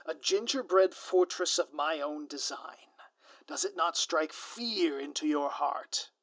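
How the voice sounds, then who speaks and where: A man's voice: fairly high pitch, slow pace, fairly bright tone, dry recording. A man playing a grandiose, scheming supervillain in an audio roleplay, with florid, pompous, melodramatic delivery. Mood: theatrical, taunting. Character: grandiose theatrical male supervillain, lovesick and scheming. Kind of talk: scripted audio roleplay monologue.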